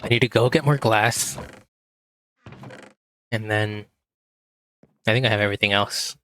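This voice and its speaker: A man with a hoarse voice, quietly talking himself through a game as he plays, in a relaxed, slow, muttering way. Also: fairly low pitch. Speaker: gaming video host. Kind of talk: casual gameplay commentary.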